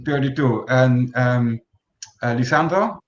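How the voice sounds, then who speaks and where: Halting man in his forties, echoey call. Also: medium pitch. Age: 40s. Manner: hesitant, many fillers and restarts. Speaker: host. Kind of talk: moderating an online round table. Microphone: video call. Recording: echoey room, dull lo-fi sound.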